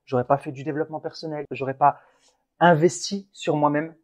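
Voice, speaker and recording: A man's voice: a little high, fairly even intonation, a little fast. Earnest encouraging French podcast host man. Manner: earnest, encouraging, many restarts. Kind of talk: casual motivational podcast chat.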